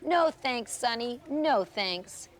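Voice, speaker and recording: A woman's voice: on the high side, lively intonation, takes it slowly, voice pushed up. A woman voicing a tiny, kindly old mountain woman in a children's storybook, full of wonder. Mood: friendly. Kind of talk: storybook character reading.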